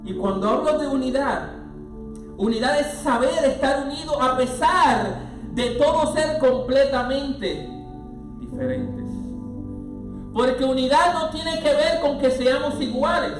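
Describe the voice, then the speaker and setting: High-voiced preacher in an echoey hall. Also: male voice, a little slow, far from the mic. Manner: preaching with swells into shouted, sung-out phrases. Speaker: pastor. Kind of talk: sermon. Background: music underneath.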